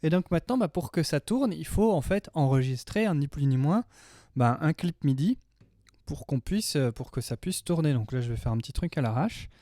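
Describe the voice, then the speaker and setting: A man's voice: on the high side, lively intonation, normal speed, dark tone, no room sound. A man, chatting informally and hesitantly as he hosts a home-studio tutorial on a close mic. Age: 30s. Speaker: music production tutorial host. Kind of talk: casual tutorial chat. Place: small home studio room, indoors. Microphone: close studio mic on boom arm.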